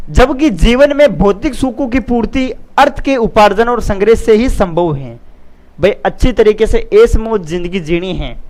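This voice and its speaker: A man teaching students in an exam-prep lecture, repeating key phrases and adding check-in tags in a didactic way. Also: high voice.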